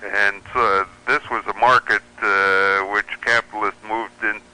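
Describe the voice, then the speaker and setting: A man's voice: fairly low pitch, level tone, a little slow, sharp tone, clean tone, poor audio. An American man giving explanatory, opinionated commentary as a guest in a radio interview. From United States. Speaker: radio show guest, pirate radio enthusiast.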